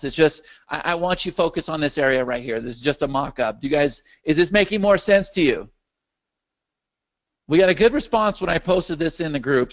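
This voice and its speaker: A man with a slightly high, gravelly voice leads a live video call over his computer microphone, walking users through his software. He is losing his voice after a long day, and he often stops to ask whether everyone is following. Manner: animated, checks in with the audience often. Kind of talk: live webinar Q&A with screen share.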